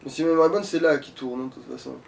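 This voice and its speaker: High-voiced man gaming, off-mic. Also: very fast, gravelly, distant mic. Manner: colloquial, frequent swearing. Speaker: let's play gamer. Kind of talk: casual gaming chat.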